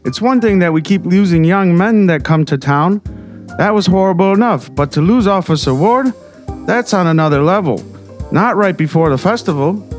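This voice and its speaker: A man playing a slick, self-serving small-town mayor in an audio drama, smooth-talking and condescending. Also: sits high, animated, normal speed, soft background music. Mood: evasive.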